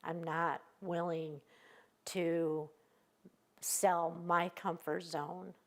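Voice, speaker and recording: A woman in her fifties from Michigan speaking earnestly and with determination in a news interview, pausing often as she talks. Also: fairly low pitch, flat delivery. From Michigan, USA. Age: 50s. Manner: earnest, determined, halting with pauses.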